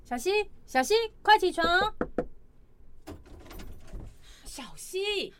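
A woman's voice: very high voice, expressive, measured pace, music in the background. A woman playing a schoolgirl's exasperated mother in an audio drama, nagging and scolding. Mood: calling, nagging.

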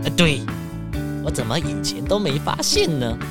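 A woman playing a fussy, excitable rich man who frets and whines in a children's audio detective drama. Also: low-pitched, normal speed, over music. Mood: awed. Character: fussy, panicky rich man frantic about his sports car.

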